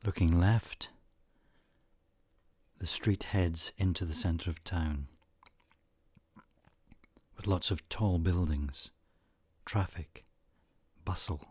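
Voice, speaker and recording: Slow, low-voiced man guiding meditation. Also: darkish tone, breathy edge, dry recording. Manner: soothing, measured, with frequent pauses. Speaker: meditation guide. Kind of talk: guided meditation narration, scripted.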